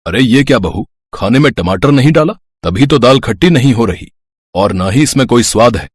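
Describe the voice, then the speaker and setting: A fussy man of the house played by a male actor in a cartoon family tale. He grumbles in a husky, very animated voice, recorded close. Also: medium pitch, normal speed, no echo. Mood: grumbling. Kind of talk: Hindi moral story cartoon voice acting.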